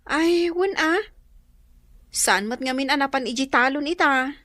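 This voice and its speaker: A woman's voice: high-pitched, unhurried, bright, forceful tone, no room sound. A woman with an Ilocano accent playing an inquisitive, disapproving household member in a radio drama. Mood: matter-of-fact.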